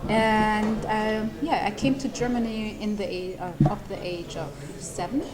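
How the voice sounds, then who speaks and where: A woman in her thirties, born in Eritrea with Tigrinya as her first language, talks through her story in a halting, conversational way. Her voice sits in a middle register. A noisy crowd murmurs in the background. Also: medium pitch, steady tone. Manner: hesitant, with many ums and restarts, laughing lightly. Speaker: lawyer and human rights advocate. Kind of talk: casual interview answers.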